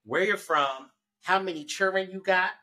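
A man in his thirties hosts a casual podcast panel at home. He talks in a relaxed, chatty way, laughing easily and stopping to explain terms for the listeners. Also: high voice, normal speed. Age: 30s. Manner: laid-back, laughing, explaining. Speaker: podcast host.

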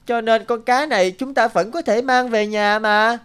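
Casting: woman as young boy, children's cartoon, eager, impatient, higher register. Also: fairly even intonation, moderate pace, clean tone, close to an everyday voice. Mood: disappointed. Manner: childlike, polite.

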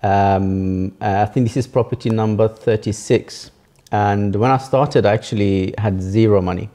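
A young man tells his story in a relaxed, matter-of-fact way, his voice sitting a little low with a mellow, soft tone. He speaks from a sofa in a furnished living room, an interview guest. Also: moderate pace. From born in Italy, raised in the UK from age twelve. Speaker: guest, property entrepreneur. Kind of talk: casual interview chat.

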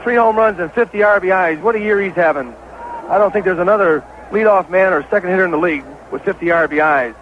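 Man, American accent, high-pitched, excitable partisan baseball commentary, dull vintage radio sound, noisy background. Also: fast talker. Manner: excitable, partisan home-team caller. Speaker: baseball play-by-play announcer. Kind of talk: 1970s live radio sports commentary.

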